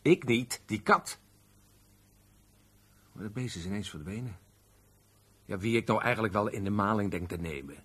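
Low, husky, sullen husband. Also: male voice, lively intonation, moderate pace, pauses often, a bit bright. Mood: indignant. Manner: grumbling, plain colloquial speech. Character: sullen, jobless middle-aged tradesman husband, distrustful and aggrieved. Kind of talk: naturalistic Dutch radio play acting.

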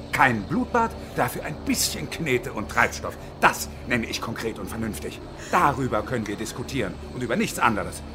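An actor playing a bitter, desperate hostage-taking engineer in his fifties in a radio drama, with sardonic, menacing, deliberate phrasing. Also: mid-range voice, close to an everyday voice. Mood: sharp, insistent. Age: middle-aged.

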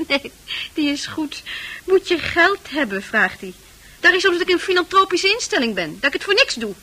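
An actress in a Dutch radio play playing a scornful, proud prostitute with a brash, mocking manner. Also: female voice, very high-pitched, sing-song, moderate pace, pushed and bright, exaggerated voice. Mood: scornful, impatient. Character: hard, scornful prostitute, proud, wounded underneath.

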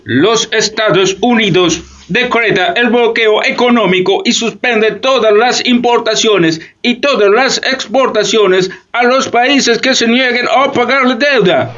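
Shrill, blustering president. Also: male voice, a little slow, hardly any pauses, a bit bright. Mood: imperious, angry. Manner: imperious, decreeing. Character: blustering, high-strung United States president. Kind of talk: satirical radio theatre acting. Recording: dull lo-fi recording.

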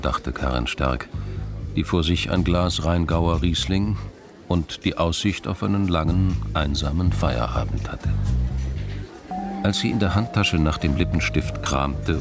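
Very deep-voiced crime drama narrator. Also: male voice, gravelly, full character voice. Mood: dry, laconic. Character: dry, observant male narrator of a crime story.

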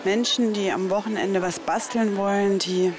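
A woman's voice: medium pitch, steady tone, moderate pace. A woman working as a reporter, narrating a documentary and talking with people she meets. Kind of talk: documentary narration and street conversation. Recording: loud music behind the voice. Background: prominent music.

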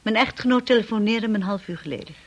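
An actress in a radio play playing an anxious young wife, with a breathy voice. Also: female voice, a little high, quick pace, lo-fi sound. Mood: firm, nervous. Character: anxious young wife defending her husband.

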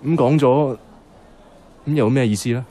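A man's voice: medium pitch, moderate pace, warm tone, natural voice. An actor playing a pragmatic young man in a Hong Kong Cantonese radio drama, hesitant in love, with a dry, incredulous, colloquial way of talking. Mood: frustrated, defeated. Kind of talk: radio drama dialogue with some narration. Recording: music under the voice.

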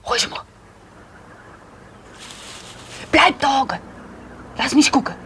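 An actress in a radio drama playing a tender, anxious middle-aged mother who speaks in hushed tones as she hides her son. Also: high-pitched, brisk, a little hiss or hum. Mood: alarmed.

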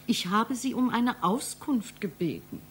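A woman's voice: a little high, very animated, measured pace, a little hiss or hum. A woman acting in a German radio play as an officious, unruffled receptionist, formal, insistent and reproachful. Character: officious, unruffled female receptionist guarding a director's anteroom.

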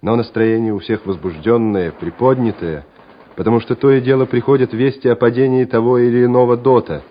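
A man's voice: mid-range voice, fairly even intonation, a little fast, slight background noise. A man narrating a war radio play in a scripted, dramatic storytelling style. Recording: dull radio recording with music behind.

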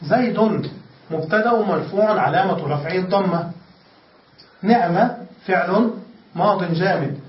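High-voiced man teaching in an echoey room. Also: a little hiss or hum. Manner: methodical, often checks if students follow; repeats and restates points. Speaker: grammar teacher. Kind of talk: classroom lesson. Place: lesson room with students. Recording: echoey room, distant lo-fi mic.

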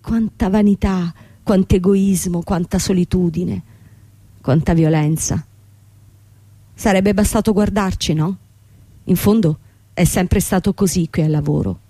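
An actress playing a young, proud, bitter bankrupt company owner in a theatrical radio drama. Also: female voice, fairly low pitch, measured pace, dark tone, no echo. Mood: anguished, sombre. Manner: theatrical, dramatic delivery with repetition.

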